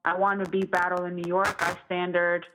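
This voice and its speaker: A woman's voice: on the low side, level tone, moderate pace, pushed and bright. A woman in her thirties from Estonia, talking casually and conversationally as an interview guest who produces music. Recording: thin, phone-like band-limited audio.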